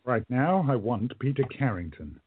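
A man playing a courtly, resolute time-travelling gentleman in a radio play, with formal, emphatic speech. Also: medium pitch, very animated, moderate pace, husky, dry recording, narrow, tinny audio. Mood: stern, warning.

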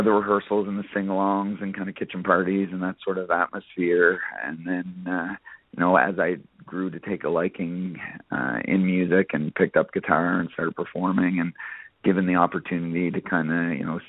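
Very low-voiced man, casual radio interview guest. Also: flat delivery, moderate pace, no room sound, phone-quality sound. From Nova Scotia, Canada. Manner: rambling, peppered with "you know" and "kinda". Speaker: guest, country singer-songwriter.

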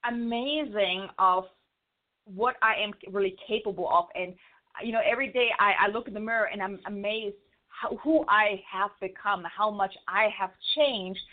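A woman with a German accent, an author talking fast and stumbling over words as an interview guest. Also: mid-range voice. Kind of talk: casual interview chat. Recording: phone-line audio.

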